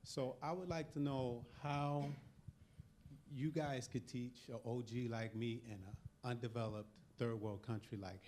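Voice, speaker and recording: A man's voice: on the low side, darkish tone, slight background noise. An older man from Oakland, California, asking an earnest, eager question from the audience at a panel. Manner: earnest, eager, self-deprecating.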